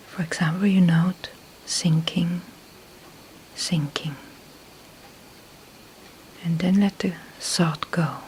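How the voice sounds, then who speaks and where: Slow, hushed woman guiding meditation, creaky voice. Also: lowish voice, slight background noise, poor audio. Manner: calm, unhurried, with long pauses between short phrases. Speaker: meditation teacher. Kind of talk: slow guided meditation instruction.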